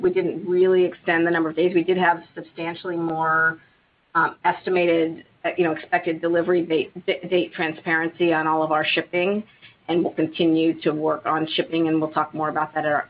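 A man speaking as a company executive on an earnings call, answering in an informal, halting way. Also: a little high, flat delivery. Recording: noisy, tinny phone line.

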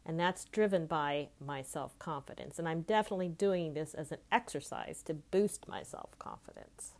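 A woman's voice: sits low, expressive, normal speed. A woman in her late fifties speaking as a life coach, giving an informal, reflective motivational podcast monologue in a conversational way. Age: late 50s.

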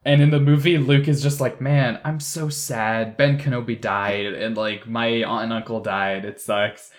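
A man's voice: medium pitch, fairly even intonation, measured pace. A man co-hosting a podcast, chatting casually and acting out paraphrased lines in a conversational way. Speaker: podcast co-host. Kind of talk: casual group chat reviewing a drama.

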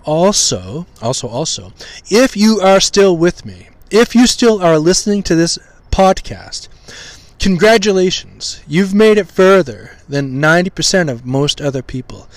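A man hosting a podcast, teaching scripture in an informal way with a slightly hoarse, weak voice, full of pauses, ums and restarts while he tries to enunciate carefully. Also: on the high side, no room sound. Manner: halting, many ums and restarts, deliberate enunciation.

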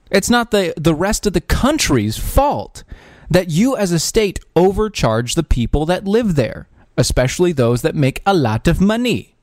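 A man's voice: fairly high pitch, no room sound, clean studio sound. A man hosting a podcast, giving casual political commentary in a sarcastic, ranting way with frequent restarts and fillers. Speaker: podcast host.

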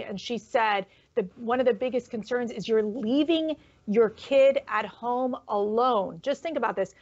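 A woman co-hosting a panel discussion, affirming and conversational. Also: on the high side. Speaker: co-host. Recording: muffled lo-fi audio.

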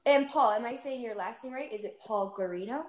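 A woman's voice: a little high, a little fast. Chatty Canadian woman in an echoey room. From Ontario, Canada. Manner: chatty, blunt, swears. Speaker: podcast co-host. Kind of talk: casual chat. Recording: echoey room with faint noise.

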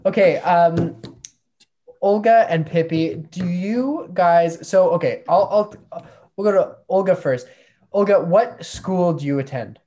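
A man hosting a comedy podcast, introducing guests and spinning long, deadpan, rambling riffs. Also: high voice, measured pace. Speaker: podcast host.

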